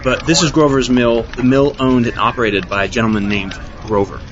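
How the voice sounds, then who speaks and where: A man's voice: medium pitch, steady tone, natural voice. A man playing a rambling, easily sidetracked on-scene reporter in a comedy radio news parody. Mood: earnest rambling. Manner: halting, repetitive, easily sidetracked. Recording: noisy lo-fi outdoor field report.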